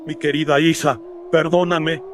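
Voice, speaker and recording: A man playing a desperate colonial gentleman father in a horror radio drama, theatrical and anguished. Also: fairly high pitch, flat delivery, normal speed, very noisy, pitched above the performer's own voice, natural voice. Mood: anguished, despairing.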